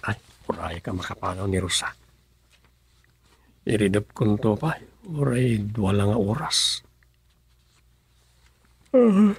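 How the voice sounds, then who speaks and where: A man's voice: mid-range voice, vocal fry, breathy edge, heavily acted. An actor with an Ilocano accent playing a grumbling, sleepy village councilman in a radio comedy-drama.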